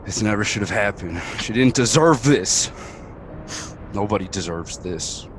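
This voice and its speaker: A man playing a grieving, bitten survivor in a dramatic table read, with a husky voice over a video call. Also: fairly low pitch, expressive, measured pace, a little hiss or hum. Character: grieving bitten man, raging then wearily resigned. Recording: video call audio under music.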